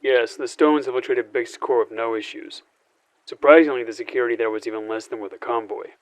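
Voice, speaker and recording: An actor playing a calm, calculating, authoritative administrator in a sci-fi audio drama, speaking formally and deliberately. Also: male voice, medium pitch, no echo, natural voice.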